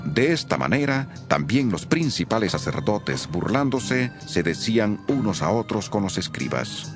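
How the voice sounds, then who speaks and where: A man narrating scripture from a script in a solemn, expressive manner. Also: on the low side, measured pace, music in the background.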